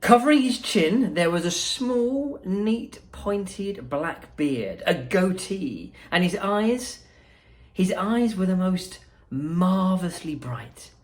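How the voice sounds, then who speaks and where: A woman, a school principal reading a storybook aloud expressively, with pauses and small asides. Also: fairly low pitch. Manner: unhurried, expressive, pausing.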